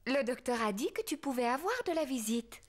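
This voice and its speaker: A woman's voice: sits high, animated, fairly quick, a little hiss or hum. A woman playing a caring, sensible mother pig in a French preschool cartoon.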